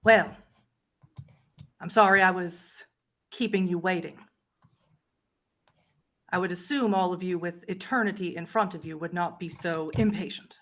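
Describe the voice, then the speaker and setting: A woman voicing a haughty vampire prince, an elegant powerful woman speaking in a formal, condescending, measured way. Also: lowish voice, steady tone, a bit bright. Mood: sarcastic, cold.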